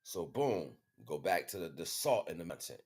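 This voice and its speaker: Male livestream host, very deep pitch, loose slangy storyteller, informal rambling delivery. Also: moderate pace, slightly rough. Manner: slangy, animated storytelling with frequent check-ins. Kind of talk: casual rambling monologue.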